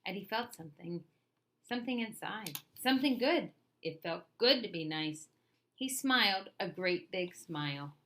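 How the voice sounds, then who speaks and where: A woman reading a children's picture book aloud with lively, expressive storytelling. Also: medium pitch, a little slow.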